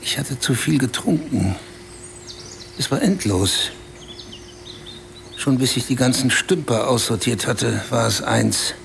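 A man's voice: mid-range voice, takes it slowly, gravelly, long pauses, fairly bright tone, a little hiss or hum. An actor in a radio play voicing a bitter, self-pitying man who recounts a wild night in a brooding, confessional way.

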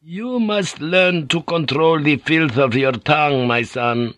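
A man playing a sly, smooth-talking middle-aged Jesuit priest who speaks softly and draws out his words. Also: a little high, no room sound, pitched above the performer's own voice. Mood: reproving. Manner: soft, coaxing, drawn-out.

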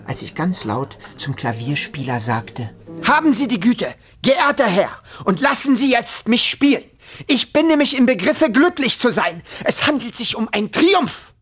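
A man's voice: very high-pitched, big pitch swings, moderate pace, a bit bright, full character voice. An actor playing an awkward, fragile, overwrought man, narrating a literary radio play theatrically. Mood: exalted pleading. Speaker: first-person narrator and protagonist. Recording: music behind the voice.

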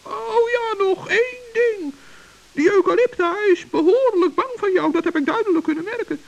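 A woman's voice: very high-pitched, moderate pace, gravelly, lo-fi sound. A woman voicing a cheerful, brave male forest gnome in an old children's radio play, chatty and earnest. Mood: chirpy.

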